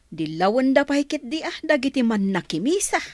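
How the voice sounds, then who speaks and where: Woman acting a grumbling village mother, very animated. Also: high voice, normal speed, dry recording, studio quality. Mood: disapproving. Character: disapproving village mother, grumbling about churchgoers. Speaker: actor in a radio drama. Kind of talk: Ilocano comedy radio play acting.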